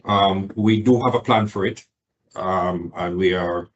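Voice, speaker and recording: A man with a low voice answers questions as a company executive on an earnings call. His phrasing is formal and corporate. He proceeds in a measured, hesitant way, picking his words. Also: highs cut off, a little hiss or hum.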